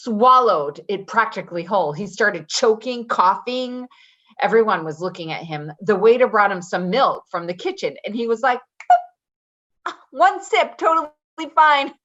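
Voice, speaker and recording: An actress playing a brash, chatty, defensive caregiver in a naturalistic play reading, rambling and halting as she goes. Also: medium pitch, fairly bright tone, dull audio. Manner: rambling, halting, with nervous laughter. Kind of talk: naturalistic readers theater acting.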